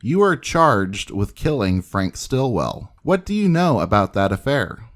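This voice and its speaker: Low-voiced man narrating an old interview. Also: big pitch swings, a little slow. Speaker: narrator and reporter reader. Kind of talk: scripted dramatic narration of an old newspaper interview.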